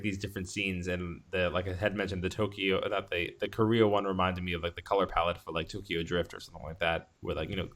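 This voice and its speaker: A man with a fairly low voice talks casually as a podcast host. He rambles enthusiastically through his thoughts, in the loose style of a movie review chat. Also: fairly bright tone.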